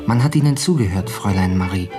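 An actor playing a courteous, smitten newspaper editor in a radio play, speaking formally, gallantly and a little tentatively. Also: male voice, sits low, expressive, quick pace. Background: music playing.

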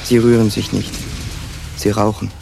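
A man's voice: fairly low pitch, flat delivery, moderate pace, creaky, noisy background. A man narrating a radio play, reading stage directions in a terse, matter-of-fact way.

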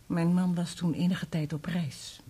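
A woman's voice: lowish voice, fairly even intonation, unhurried, mellow, husky. An actress in a Dutch radio play playing a polite, guarded married woman with a reserved, hesitant way of talking. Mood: uneasy, reserved.

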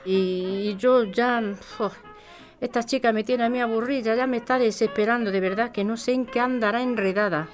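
A woman's voice: a little high, steady tone, moderate pace, faint music behind, natural voice. An actress with a Spain accent playing a caring but exasperated working woman, speaking colloquially and expressively. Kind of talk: audio fiction podcast acting.

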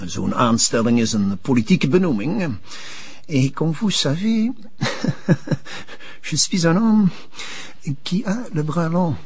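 An influential, self-important Flemish notary in a television drama. His voice is extremely husky and mid-pitched. He talks formally, hesitates, and drops in French phrases. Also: darkish tone, dry recording, full character voice. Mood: insinuating. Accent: Flemish Belgian. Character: smooth-mannered, pompous, influential male notary. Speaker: actor in a police drama series.

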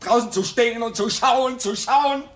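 A man's voice: extremely high pitch, normal speed. A man in his twenties acting in a 1950s radio drama as a restless young station worker, impatient and sarcastic, his voice climbing when he gets worked up. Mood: bitter. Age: 20s.

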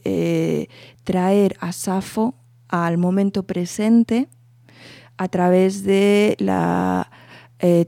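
A woman with a low, mellow voice talks through her poetry project in a radio interview, circling back and restarting as she thinks. The sound is dry and close, with no room around her. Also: no echo. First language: Spanish. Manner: thoughtful, circling restarts, steady explaining. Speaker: poet guest.

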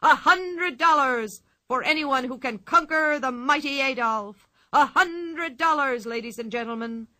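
A loud male circus ringmaster from a storybook, drawing out every word like a showman, as a woman reader puts him on. The voice sits high and rings bright and forceful, with a dry, close sound. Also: dry recording. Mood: grandly announcing. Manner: booming, drawn-out showman announcing.

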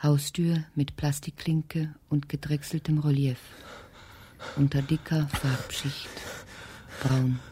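A woman narrating a radio drama in a terse, detached, husky delivery, as if reading out stage directions. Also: low voice, level tone, slow pace, dark tone, heavily acted. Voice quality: husky, breathy.

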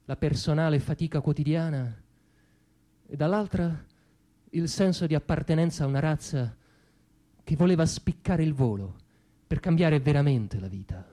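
A man performing a theatrical spoken monologue, declamatory, with insistent repetitions and deliberate pauses. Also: a little high, warm tone. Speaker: stage actor performing monologues. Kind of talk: theatrical spoken monologue over music, in the teatro-canzone manner. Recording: guitar music behind the voice. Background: plucked guitar music.

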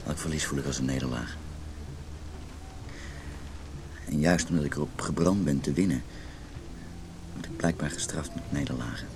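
A very low-pitched, brooding man in a radio play. He is wounded and intense, speaking as someone whose marriage is failing. There is light noise on the recording. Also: fairly quick. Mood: downcast. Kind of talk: Dutch radio drama acting.

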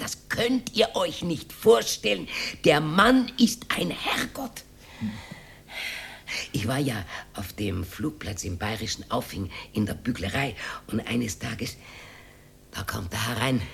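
A woman's voice: extremely low pitch, gravelly, a little hiss or hum, heavily acted. An actress playing a talkative older Austrian working-class widow who confides her memories in a radio drama, rambling and dropping into whispers. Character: talkative old working-class widow reminiscing about her hard wartime life. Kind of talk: radio drama monologue, naturalistic acting.

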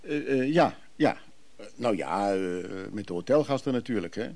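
An actor in a Dutch radio play voicing an evasive, soothing antiques buyer, speaking conversationally and a little hesitantly. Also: male voice, medium pitch, expressive, moderate pace, a little mellow. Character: Freek, evasive, soothing middle-class antiques buyer and brother.

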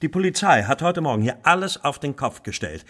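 An actor playing an agitated, well-to-do married gentleman in a German radio drama, speaking formally and fretfully. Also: on the high side, very animated, brisk.

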